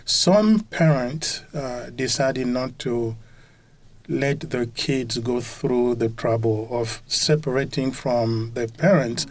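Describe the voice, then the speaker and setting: A man in his fifties from Congo, a college professor being interviewed, talking with many pauses and fillers. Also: mid-range voice. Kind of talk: conversational interview.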